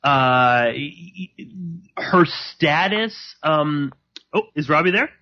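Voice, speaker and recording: A man hosting live radio alone, rambling and halting with many fillers, a slight sore throat in his voice. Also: fairly high pitch, expressive. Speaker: podcast host. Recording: dull lo-fi.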